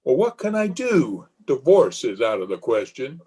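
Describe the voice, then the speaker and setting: A man's voice: mid-range voice, sing-song, moderate pace, a little mellow, slightly rough. A man playing a bitter, weak-willed philandering husband in an old-time radio melodrama, agitated and theatrical. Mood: shocked, desperate. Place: remote recording over Zoom.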